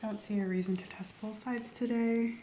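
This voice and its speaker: Soft, mellow woman in ASMR exam roleplay. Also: mid-range voice, fairly even intonation, fairly quick, reverberant, a little hiss or hum. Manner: gentle, reassuring, instructive. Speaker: ASMR performer playing a doctor doing a medical exam. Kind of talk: ASMR medical roleplay, soft-spoken with whispering.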